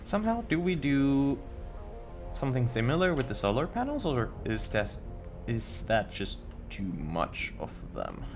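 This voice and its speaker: A man's voice: medium pitch, sing-song, unhurried, music in the background. A young man from Finland with a Finnish accent, chatting casually on a game live stream and stumbling over his words. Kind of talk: casual live-stream chat while building.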